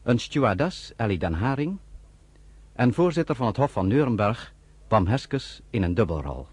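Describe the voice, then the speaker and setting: A man's voice: medium pitch, moderate pace, slightly rough, no room sound. A man announcing the end of a radio drama and reading out the cast list in a formal manner. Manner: formal and even. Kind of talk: reading credits.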